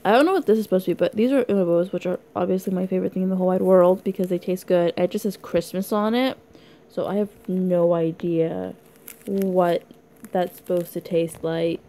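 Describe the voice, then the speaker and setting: A young woman from Canada chatting casually through an unboxing video, rambling and giggly, her voice hoarse from being sick. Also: lowish voice, steady tone, a little fast, mellow, a little hiss or hum. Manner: rambling, giggly, lots of likes and ums. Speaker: vlogger.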